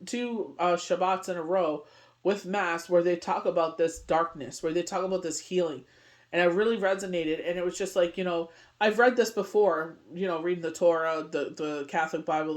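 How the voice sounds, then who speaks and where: Middle-aged Canadian woman, chatty tarot reader, rambles and leans on fillers. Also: fairly low pitch. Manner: rambling, heavy on "you know what I mean". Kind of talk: casual daily tarot reading.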